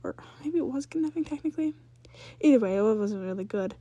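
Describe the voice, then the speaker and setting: A woman's voice: on the high side, expressive, fast, dark tone, dry recording. A woman reading fan fiction aloud as a story narrator, sometimes stumbling and rereading phrases.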